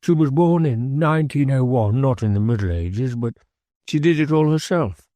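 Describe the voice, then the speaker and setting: A man's voice: mid-range voice, moderate pace, no echo, pitched above the performer's own voice, lightly acted. A man voicing a grown man in a novel who fondly and animatedly reminisces about his boyhood. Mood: proud, fond. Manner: animated, storytelling.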